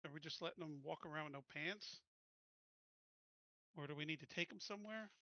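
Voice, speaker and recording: A man chats as a player in a tabletop game, blunt and informal. His voice is fairly high and very sharp and forceful, recorded dry. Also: a little fast, no echo, dull audio. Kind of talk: casual game chat.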